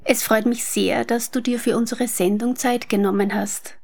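A woman's voice: fairly high pitch, steady tone, moderate pace, creaky, a little mellow. A woman, giving a prepared, formal introduction as an interviewer. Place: guest's apartment living room.

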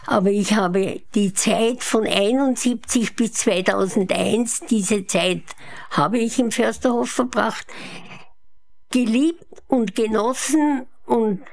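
A woman's voice: mid-range voice, vocal fry. A woman in her eighties with a Viennese Austrian accent, telling her life story as an interview guest. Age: 80s. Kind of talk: unhurried autobiographical storytelling. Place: her apartment living room.

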